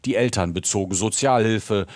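A man's voice: lowish voice, moderate pace, no echo, studio quality. A man narrating a scripted news report as a correspondent.

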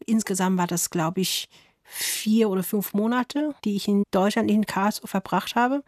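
A woman's voice: medium pitch, no echo, clean studio sound. Thoughtful woman violinist. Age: 30s. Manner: thoughtful, laughs softly, slight grammar slips. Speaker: violinist guest. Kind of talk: radio interview answers. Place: radio studio.

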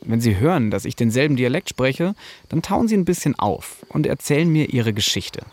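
Creaky-voiced man narrating a podcast. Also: mid-range voice, sing-song, normal speed, darkish tone, no echo. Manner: casual, personal storytelling. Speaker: podcast host and reporter.

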